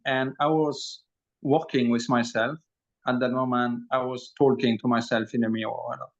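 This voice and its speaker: A man in his forties from Belgium with a French accent, a podcast guest and energy healer, talking in a halting way with many pauses and fillers. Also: medium pitch. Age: 40s. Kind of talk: casual interview talk. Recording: dull lo-fi audio.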